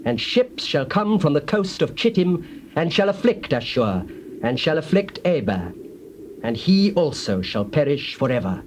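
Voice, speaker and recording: An actor playing a solemn, defiant prophet in a dramatized audio Bible, declaiming grand oracles like incantations. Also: male voice, a little high, sing-song, a little slow. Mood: solemn, prophetic. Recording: hissy old cassette tape.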